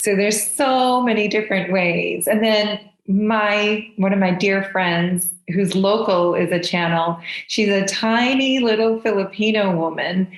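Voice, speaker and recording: A woman's voice: medium pitch, unhurried, hardly any pauses, roomy sound, rough recording. A woman speaking as a podcast guest, answering casually and conversationally in an effusive, enthusiastic manner. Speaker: podcast guest, spiritual channeler.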